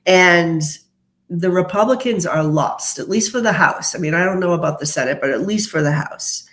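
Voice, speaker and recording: A tarot reader speaks at a medium pitch in a roomy, echoing space. The delivery mixes short, declarative pronouncements with chatty explanation, in the style of a solo prediction show. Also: normal speed, fairly bright tone. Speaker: tarot reader, host of the channel. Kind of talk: tarot prediction monologue mixing read-out notes and casual commentary. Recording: roomy, echoing indoor sound.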